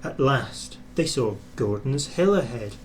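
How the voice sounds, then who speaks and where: Male narrator, slow pace, expressive storybook reading, faint background noise. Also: mid-range voice. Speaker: storybook reader.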